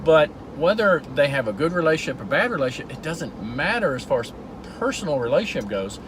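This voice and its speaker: A man's voice: a little high, sing-song, fairly quick, noisy background. A middle-aged man from Georgia, telling a story in an earnest casual way as he drives. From Georgia, USA. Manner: earnest, storytelling, with repeated restarts. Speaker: vlog host. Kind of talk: casual talk while driving. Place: in a car.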